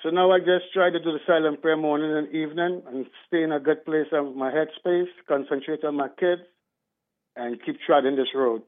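A man's voice: a little high, fairly even intonation, moderate pace. A man from Trinidad, calling in to a radio show and speaking earnestly in a rambling way. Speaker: caller. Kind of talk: call-in talk radio. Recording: tinny phone line.

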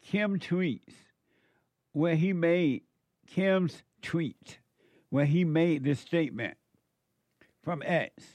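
A man's voice: fairly high pitch, drawn-out words, long pauses, darkish tone, no room sound. A man hosting a casual chat who reacts to posts with laughter and playful repetition. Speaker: show host.

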